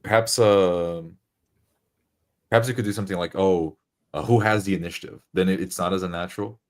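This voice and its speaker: A man with a low voice joins a casual group discussion. He is hesitant and measured, repeating words as he works out his phrasing. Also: fairly even intonation, a little mellow.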